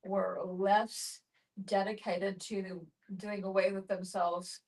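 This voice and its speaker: A woman's voice: medium pitch, little pitch movement, slow pace, darkish tone. A woman in a casual group discussion, commenting with dry, understated humour. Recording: group in room, distant mic.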